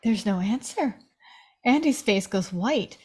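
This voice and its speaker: A woman's voice: mid-range voice, lively intonation, slow pace, a little mellow, slightly rough. A woman reads film stage directions aloud at a table read. Her voice is mid-range, delivered as scripted narration.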